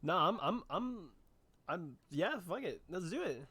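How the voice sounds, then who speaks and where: Animated man reacting to a game. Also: sits high, measured pace, husky. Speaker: game player commentating. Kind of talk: casual off-the-cuff gameplay reactions.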